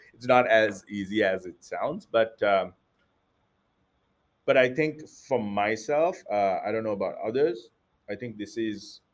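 A man from Sri Lanka, an entrepreneur guest, answering interview questions casually with many fillers and restarts. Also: lowish voice.

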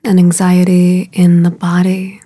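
A woman guiding a meditation in a soothing, hushed way, soft and breathy at the ends of phrases, with unhurried, drawn-out phrasing. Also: fairly low pitch, completely flat delivery, no echo. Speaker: meditation guide. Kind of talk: guided meditation.

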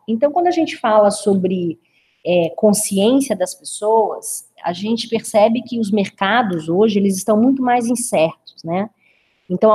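Brazilian webinar host in her forties, husky, unhurried drawl, explains step by step. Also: mid-range voice, dark tone. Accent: drawling inland Brazilian Portuguese. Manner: explanatory, measured, frequent "né?" tags. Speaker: webinar presenter, consultant. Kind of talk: online training webinar.

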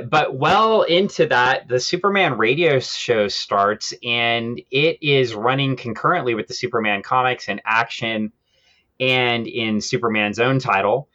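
A man's voice: medium pitch, sharp tone, lo-fi sound. A man co-hosting a podcast, explaining comics history in a casual, conversational way. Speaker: podcast co-host.

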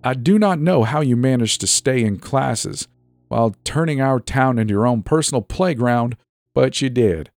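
A man voicing a stern, scornful woman who is a high school principal, scolding and spitting out words in a dramatic story reading. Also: expressive, normal speed, gravelly, a little mellow, no echo, soft background music. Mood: strained, sarcastic.

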